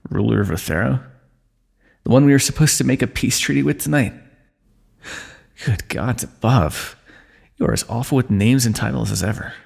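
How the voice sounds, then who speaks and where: A man voicing a wry, wounded fantasy ruler who teases an old comrade in a calm, dry way, in an ASMR roleplay. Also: medium pitch, creaky, slightly rough. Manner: dry, teasing, calm understatement.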